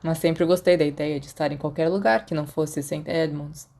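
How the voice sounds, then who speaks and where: A woman's voice: low-pitched, fairly even intonation, fairly quick, dark tone. A Brazilian woman voicing a studious, reserved teenage boy wizard in a story, wry and matter-of-fact. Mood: pensive.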